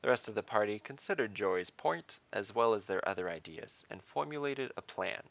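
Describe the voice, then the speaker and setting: A man hosting and narrating a scripted fantasy story as its dungeon master, in a dramatic, deliberate way. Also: fairly low pitch, lively intonation, creaky, a bit bright, muffled recording.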